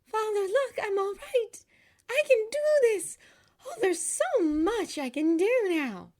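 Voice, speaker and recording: A woman voicing an excitable young woman in a story, breathless and exclaiming with joy. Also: extremely high pitch, slow, voice pushed up, exaggerated voice. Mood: giddy, thrilled. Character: excitable young woman overjoyed at first steps.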